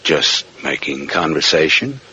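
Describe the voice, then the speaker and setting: Tough noir detective, deep American voice, sardonic, clipped, vintage radio acting, muffled old recording. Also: male voice, big pitch swings, unhurried, pushed and bright, husky, heavily acted. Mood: wary, dry. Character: tough, wisecracking hard-boiled male detective narrating his own case. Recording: dull vintage radio recording with music behind.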